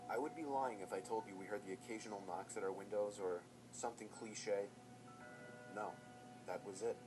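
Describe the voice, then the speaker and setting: A man telling a first-person horror story in a measured, tense scripted narration. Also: lowish voice, over music.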